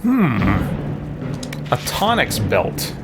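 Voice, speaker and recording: A man's voice: medium pitch, wildly swooping pitch, drawn-out words, loud music behind the voice. A man doing casual live gameplay commentary, thinking aloud and muttering to himself as he plays. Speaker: game streamer.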